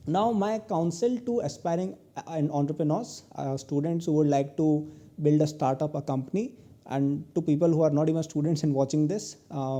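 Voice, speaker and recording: A man's voice: fairly high pitch, mellow. A man in his twenties with an Indian English accent, giving a recorded online lecture as a guest entrepreneur, who ends many of his points with "okay?". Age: 20s. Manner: earnest advice, tags many lines with "okay?".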